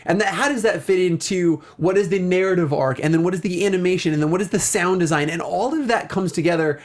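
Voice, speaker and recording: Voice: medium pitch, quick pace. Enthusiastic, rambling talk show host. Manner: enthusiastic, gushing; rambling, breaking off mid-thought. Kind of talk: casual review discussion.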